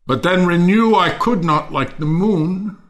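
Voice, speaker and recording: A man's voice: high voice, fairly bright tone. An actor playing a bitter man who hates mankind in a Shakespearean audio drama, declaiming scornfully. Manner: declamatory, scornful, drawn-out phrasing with sudden swoops.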